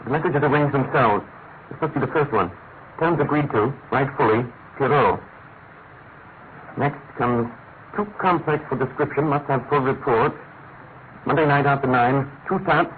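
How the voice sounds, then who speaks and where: An actor playing a brilliant, eager English detective in a classic radio drama, theatrical, precise and commanding. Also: male voice, medium pitch, normal speed, long pauses, slight background noise, natural voice. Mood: absorbed, intent. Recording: tinny band-limited old radio recording, music behind.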